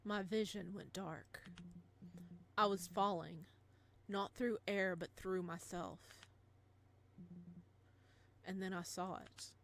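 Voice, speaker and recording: Girl doing a lets play, reads the story slow and dramatic, reacts playfully, bit of background noise. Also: mid-range voice, gravelly. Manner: expressive, theatrical. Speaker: game streamer reading a visual novel aloud.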